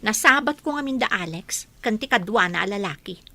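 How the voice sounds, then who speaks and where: A woman's voice: mid-range voice, expressive, fairly quick, sharp tone. A woman with an Ilocano accent playing an embarrassed, defensive young woman in a radio comedy drama. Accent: Ilocano Filipino.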